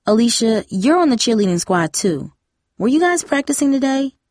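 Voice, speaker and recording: A woman's voice: on the high side, very animated, normal speed, darkish tone, dry recording, studio quality, pitched above the performer's own voice. A woman voicing a protective, persistent teenage older sister, earnest and pressing, in a story reading. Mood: questioning.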